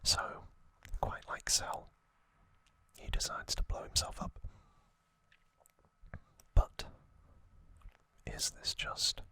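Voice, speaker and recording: A man speaking in a breathy, unvoiced whisper, telling trivia in a soothing, halting ASMR style. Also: sharp tone. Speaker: ASMR creator, host.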